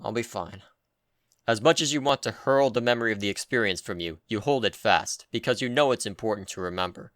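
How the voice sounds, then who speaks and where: A man giving an intense, theatrical scripted narration of video game writing, with deliberate pauses. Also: lowish voice, fairly bright tone, no room sound, studio quality.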